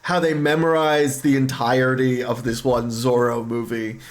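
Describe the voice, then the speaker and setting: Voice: medium pitch, flat delivery, moderate pace. A streamer chatting casually in a rambling way over a game, telling movie anecdotes. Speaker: streamer reading a game's dialogue aloud. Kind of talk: casual chat while playing a game. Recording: music behind the voice. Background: music.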